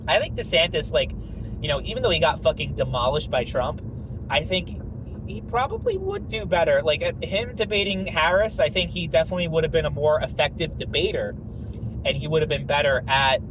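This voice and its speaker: A man's voice: a little high, lively intonation, brisk, noisy background. A young man giving a rambling, profane political opinion monologue, full of fillers. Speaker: political commentator.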